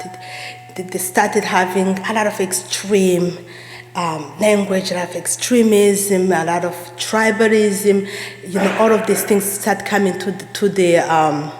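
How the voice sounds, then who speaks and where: A woman's voice: fairly low pitch, slight background noise. A woman from Rwanda giving an earnest sermon as a guest speaker, repeating phrases for emphasis. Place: church sanctuary. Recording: echoey church hall.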